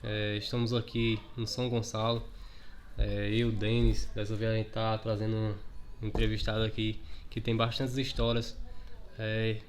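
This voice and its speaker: A man's voice: on the low side, monotone, moderate pace, slight background noise. A man hosting a local interview, speaking hesitantly with frequent fillers. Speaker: interviewer, host. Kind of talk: casual interview.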